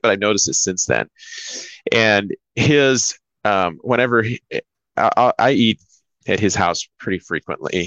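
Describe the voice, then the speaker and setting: A man's voice: low voice, a bit bright, dry recording, low-quality recording. A man co-hosting a church talk show, chatting casually in a rambling way. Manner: rambling, many fillers and restarts. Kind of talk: casual chat.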